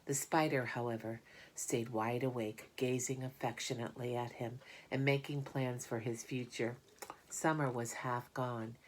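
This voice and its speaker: A woman's voice: a bass voice, steady tone, a little slow, darkish tone, a little hiss or hum. A woman narrating a children's storybook aloud as a reader. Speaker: audiobook reader.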